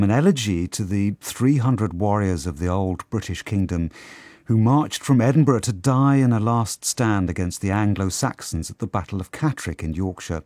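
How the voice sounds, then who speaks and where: Male radio announcer, low voice, animated intonation, dry studio sound, polished delivery. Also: dry recording. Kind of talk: broadcast continuity announcing.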